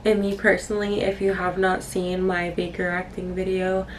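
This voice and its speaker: A woman's voice: lowish voice, completely flat delivery, moderate pace. A woman in her twenties chatting casually to viewers as a vlogger, in a rambling, candid, apologetic way. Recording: distant mic, clearly noisy room.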